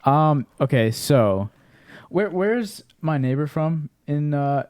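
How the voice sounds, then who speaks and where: Host: young man, mellow tone, dry sound, teasing banter. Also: a little high, lively intonation, takes it slowly, dry recording. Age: young adult. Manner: teasing, joking questions. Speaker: podcast host. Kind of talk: casual podcast chat.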